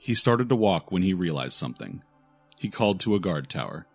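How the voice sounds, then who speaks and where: A man narrating a scripted fantasy audio drama in a solemn, deliberate way. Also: sits low, big pitch swings, slightly rough. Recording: faint music behind the voice.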